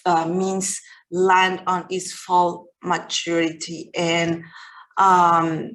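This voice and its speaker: Slow, gentle Mexican woman lecturing. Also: fairly low pitch, flat delivery. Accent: Mexican Spanish. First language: Spanish. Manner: slow, gentle, reflective, with many pauses and restarts. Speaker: guest lecturer. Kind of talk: public lecture over video call.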